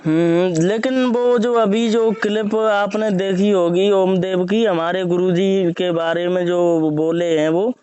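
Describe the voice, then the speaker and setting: Clear, higher-pitched man asking questions. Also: steady tone, slow, few pauses, mellow, highs cut off. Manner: deferential, prompting with questions and short acknowledgements. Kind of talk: informal phone interview. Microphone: recorded phone call.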